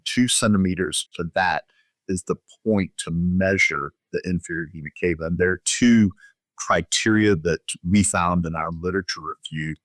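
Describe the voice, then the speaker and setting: A man speaking as a physician guest expert, explaining methodically in a measured, instructional way during a medical interview. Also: sits low, no room sound.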